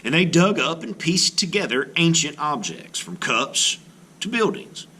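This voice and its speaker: Animated man reading a storybook aloud. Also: fairly high pitch, measured pace, a little hiss or hum. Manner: expressive, animated delivery. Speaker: art teacher reading a children's book aloud. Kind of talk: storybook read-aloud narration.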